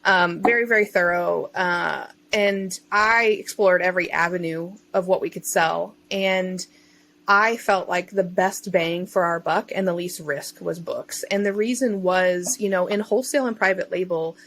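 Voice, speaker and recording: A woman's voice: fairly low pitch, fairly even intonation, moderate pace, fairly bright tone, slight background noise. A woman speaking as a podcast guest in a chatty, informal way, telling her own story in casual conversation. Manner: chatty, informal, self-interjecting. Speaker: self-employed digital marketer and online bookseller.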